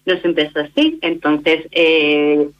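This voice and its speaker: A woman's voice: deep voice, takes it slowly, lo-fi sound. A woman from Barcelona, a social educator, explaining at length with many fillers and tag questions. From Barcelona, Spain. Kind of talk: radio interview.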